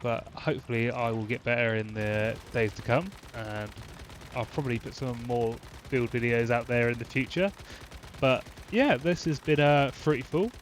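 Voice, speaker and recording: A man's voice: medium pitch, moderate pace, a little hiss or hum. Congested man talking game builds. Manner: rambling, frequent fillers and restarts. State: congested from a cold. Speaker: gaming channel host. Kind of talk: casual game build commentary. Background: game gunfire and explosions.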